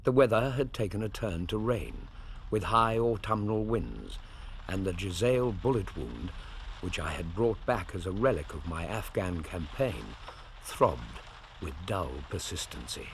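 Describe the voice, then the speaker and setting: An actor with an English accent playing a genteel Victorian doctor in a radio drama, measured, literate and courteous. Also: male voice, fairly low pitch, animated, a bit bright, a little hiss or hum. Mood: measured, reflective. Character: genteel Victorian doctor, wry loyal companion and storyteller. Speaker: actor and narrator. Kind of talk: period radio drama narration and dialogue.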